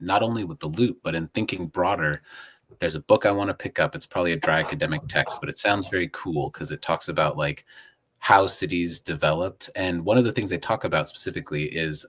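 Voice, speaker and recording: A man's voice: low voice, fairly bright tone. A man co-hosting a casual discussion show, explaining things in a discursive, thinking-aloud way. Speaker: co-host of a local civic affairs show.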